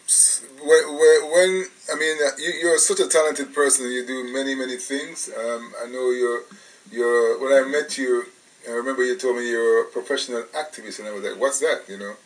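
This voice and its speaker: A man's voice: medium pitch, fairly even intonation, moderate pace, recorded from a distance, poor audio. A man from England, hosting a radio interview in a rambling, casual way with many restarts. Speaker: radio show host.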